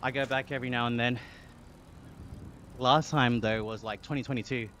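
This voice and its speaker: A man's voice: mid-range voice, fairly even intonation, normal speed. Australian man streaming while cycling. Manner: upbeat, laughs often, thanks viewers warmly. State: exercising on an indoor bike. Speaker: live streamer. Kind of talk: casual chat reading viewer comments. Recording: game sounds and traffic noise behind.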